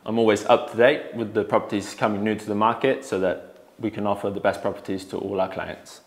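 A man's voice: on the low side, steady tone, a little fast. A man in his thirties who grew up in Spain, a native Spanish speaker, giving a casual promotional talk as an estate agent. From born in England, grew up in Spain. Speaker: real estate agent in family business. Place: living room, on a sofa indoors. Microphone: lapel mic.

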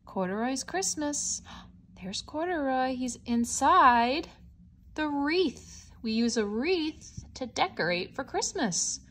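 A woman reading a picture book aloud to young children, explaining simply like a teacher, with expressive stretched words. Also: sits high, takes it slowly. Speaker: storybook read-aloud presenter.

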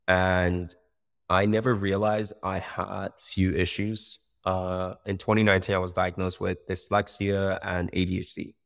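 Deep gravelly man. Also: unhurried. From Iran. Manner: animated, talks with his hands, many fillers and restarts. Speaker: startup founder guest. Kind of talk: casual interview. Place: bright room by a window. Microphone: video call.

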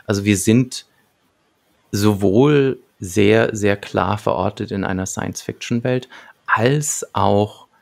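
Male co-host, thirties, creaky lower register, reflective and analytical, speaking close to a desk mic. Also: slow, darkish tone. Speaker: podcast co-host. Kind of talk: casual analytical discussion. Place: small book-lined home room, indoors, remote video call. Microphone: large desk microphone close up, with headphones.

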